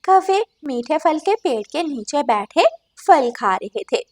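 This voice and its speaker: A woman's voice: high voice, sing-song, slow, a little mellow. A woman reading scripted storytelling narration for a cartoon as its narrator.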